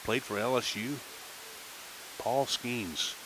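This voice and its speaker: A man calling a minor league baseball game on local radio, chatting casually between pitches. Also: slow, noisy background. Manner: relaxed folksy chatter between pitches, rising excitement on big plays. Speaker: play-by-play announcer.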